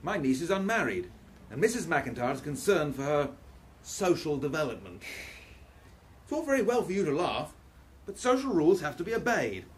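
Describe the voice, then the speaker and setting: An actor playing a proper, protective Victorian gentleman professor in a radio play, speaking formally and earnestly. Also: a little high, very animated, normal speed. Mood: fussy, exasperated. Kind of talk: steampunk radio play acting.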